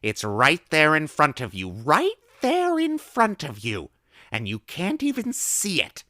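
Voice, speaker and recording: A man voicing an eccentric, eager middle-aged woman recluse who speaks in loud, excitable, cryptic bursts. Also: on the high side, normal speed, clear, resonant tone, dry recording, clean studio sound, pitched above the performer's own voice. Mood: excited.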